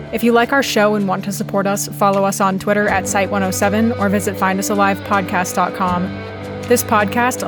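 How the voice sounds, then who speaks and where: A woman with a mid-pitched voice reads scripted end credits for a podcast. She is the announcer, and loud music plays beneath her words throughout.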